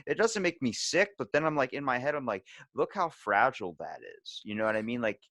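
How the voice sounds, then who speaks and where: A man's voice: mid-range voice, brisk, no echo, clean studio sound. A man hosting a podcast in casual chat, telling rambling stories and acting out the dialogue with some swearing. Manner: rambling, animated retelling with acted-out dialogue and profanity. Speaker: podcast host.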